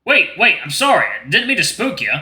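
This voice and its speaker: A man's voice: sits high, animated, fairly quick, pushed and bright, pitched above the performer's own voice, heavily acted. A man voicing a timid, worried male gray wolf in a visual novel, hesitant, apologetic and pleading. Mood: apologetic, flustered. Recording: roomy echo with music behind.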